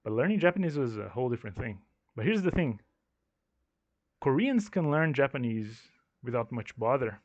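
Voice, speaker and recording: A young man with a non-native English accent talks through his game rules in a loose, meandering way, restarting phrases as he thinks. His voice sits at an ordinary pitch. The recording is dull and lo-fi, with a dry, close sound. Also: sing-song, moderate pace, pauses often, dry recording. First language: not English. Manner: rambling, self-correcting, thinking aloud. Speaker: game designer explaining his tabletop system. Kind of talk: casual explanatory monologue.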